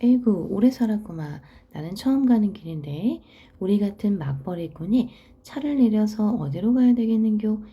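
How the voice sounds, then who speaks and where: A woman's voice: mid-range voice, lively intonation, normal speed, few pauses, dark tone, slightly rough, pitched above the performer's own voice. A woman reading a story aloud, voicing a weary, overly friendly male laborer of about thirty who speaks with a Gyeongsang accent and an eager, pleading manner. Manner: eager, pleading dialect talk.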